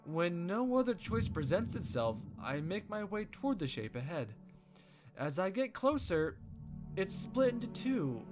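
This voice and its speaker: Male playthrough host, animated higher voice, casual expressive read-aloud, music clearly behind. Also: unhurried. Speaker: let's play host. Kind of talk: casual visual novel playthrough, reading aloud. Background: game music.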